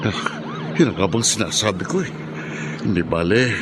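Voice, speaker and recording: A man's voice: lowish voice, sing-song, moderate pace. A man playing a defiant, taunting villain on the run in a Filipino radio drama. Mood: wary, defiant. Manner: gloating, taunting. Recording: loud car and traffic noise.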